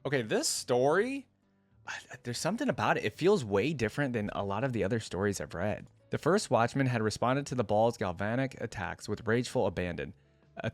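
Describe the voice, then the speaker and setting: A man's voice: on the low side, dry recording. A man reading a story aloud expressively as a reaction video creator, breaking off for casual asides.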